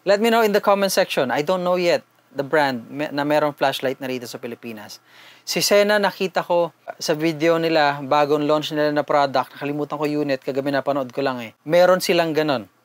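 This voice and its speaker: Man, Filipino accent, slightly high-pitched voice, dry close sound, informal vlogger, chatty unboxing delivery, switches between languages. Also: fairly quick, no room sound. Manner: conversational, addresses viewers informally. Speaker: vlogger, product reviewer. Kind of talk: casual unboxing and product review, switching between two languages.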